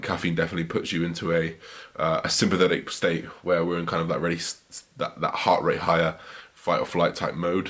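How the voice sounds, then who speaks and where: A man with a deep, slightly raspy voice, talking casually to camera in a fitness vlog with lots of fillers. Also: distant mic. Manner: energetic, chatty, full of fillers. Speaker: fitness vlogger.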